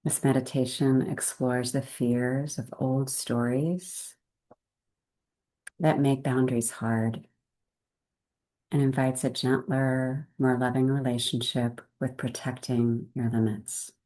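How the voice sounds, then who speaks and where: A woman leading a guided meditation in a gentle, unhurried way, with measured phrases and long pauses. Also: rumbling low, fairly even intonation, low-quality recording.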